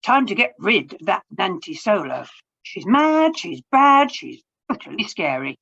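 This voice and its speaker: A woman's voice: mid-range voice, sing-song, measured pace, gravelly, fairly bright tone, breathy edge, lo-fi sound, heavily acted. A woman voicing the stern, disapproving grown-ups of a town in a read-aloud storybook, scolding and blustering.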